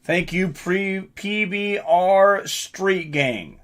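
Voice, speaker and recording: A man hosting a live fight watch-along stream, commenting casually and talking directly to viewers in chat, often clearing his throat. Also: high voice, drawn-out words, fairly bright tone.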